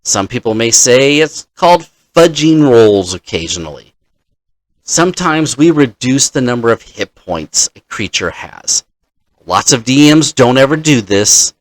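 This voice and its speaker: A man in the role of dungeon master, casually telling a story from his game session in a halting, deliberate manner. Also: mid-range voice, very animated, dry recording, low-quality recording.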